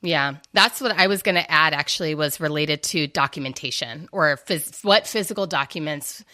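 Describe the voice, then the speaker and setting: A woman with a low-pitched voice that has a bright, forceful edge. She offers practical advice in a podcast conversation, explaining things methodically in fairly formal words. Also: moderate pace. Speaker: podcast co-host giving advice.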